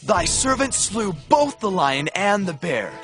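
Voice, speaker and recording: An actor playing a brave, devout young shepherd in a dramatized scripture audio drama, earnest and declamatory. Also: male voice, sits high, sing-song, measured pace, a bit bright, faint music behind, heavily acted.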